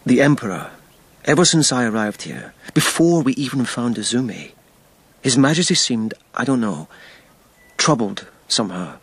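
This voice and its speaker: An actor playing a blunt, sceptical but protective army lieutenant in a radio drama, plain-spoken and a little husky. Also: male voice, medium pitch, sing-song, moderate pace, dry recording. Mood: uneasy concern.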